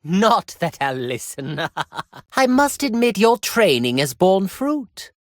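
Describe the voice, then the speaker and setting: Imperious alien overlord villain for a fighting game: high, wildly swooping voice, cruel beneath mock courtesy, theatrical and haughty. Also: male voice, sing-song, takes it slowly, no echo, clean studio sound, full character voice. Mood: smugly condescending. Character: imperious male alien galactic tyrant, cruel, mockingly polite villain. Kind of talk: theatrical video game voice acting.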